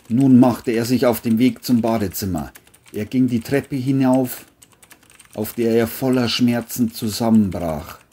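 Mellow, husky male narrator. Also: medium pitch. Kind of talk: scripted audiobook narration.

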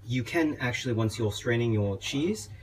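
A young man playing a genteel, affectionate elf king giving a gentle, hesitant, casual cooking demonstration. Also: medium pitch, animated, normal speed, close to an everyday voice. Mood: gentle, instructive. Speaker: cooking show host. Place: small home kitchen, indoors. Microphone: camera a step or two away. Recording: clearly noisy kitchen recording.

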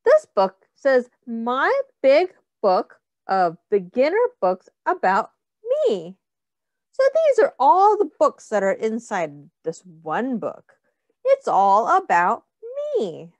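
A middle-aged woman reading a picture book aloud to young children, with simple, deliberate phrasing and questions to the listeners. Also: fairly high pitch, huge pitch swings, dry recording.

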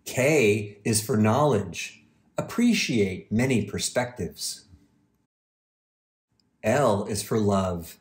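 A man reading a children's storybook aloud with measured, deliberate phrasing. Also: lowish voice. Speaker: university president, guest reader.

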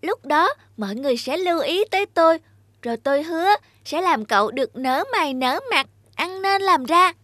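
A woman's voice: sits high, lively intonation, moderate pace, fairly bright tone, no room sound, clean studio sound. A woman voicing a clever, confident talking cat in a fairy-tale cartoon, persuasive and polite with a theatrical manner.

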